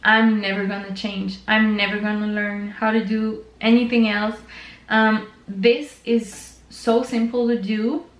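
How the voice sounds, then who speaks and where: A woman's voice: medium pitch, level tone, measured pace, echoey room. A woman with a French Canadian accent, hosting her own knitting channel in a chatty, informal way with lots of fillers. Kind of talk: casual chat to camera.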